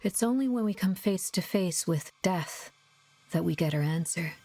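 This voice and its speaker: An actress playing a somber narrator of a sci-fi horror game, grave and measured, with a husky voice. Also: female voice, on the low side, animated, dark tone. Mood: ominous, somber. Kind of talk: dramatic scripted narration.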